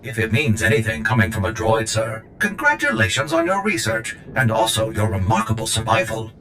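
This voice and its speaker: An actor voicing a polite, analytical medical droid who speaks in a formal, dry, precise way. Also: male voice, fairly low pitch, monotone, fairly quick, background noise, lightly acted.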